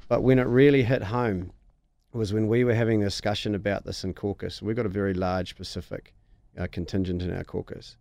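A man with a New Zealand accent, speaking as a member of parliament on a radio panel, measured and earnest. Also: lowish voice, fairly even intonation, creaky, a little mellow, no echo.

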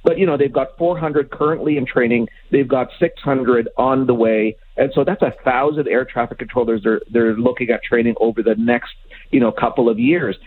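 A man giving expert commentary as an interview guest, explaining in a measured, halting way. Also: medium pitch, steady tone, a little hiss or hum. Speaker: aviation consultant, interview guest. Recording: thin phone-like line.

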